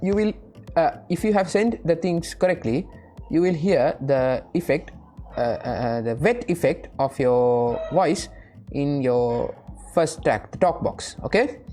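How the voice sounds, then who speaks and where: A woman with a low voice presents a casual, step-by-step software tutorial. She sounds a little hesitant and often seeks confirmation. Faint music sits behind her speech. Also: animated, unhurried.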